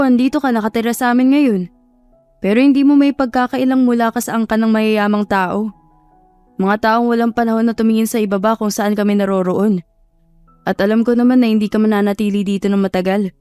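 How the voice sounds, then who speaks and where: A woman's voice: on the high side, mellow, clean tone, no echo, natural voice. An earnest, humble young man from an audio love story, acted out by a woman narrator. He speaks in a heartfelt, pleading way, hurt by his own feelings. The recording is close and dry, with faint music underneath.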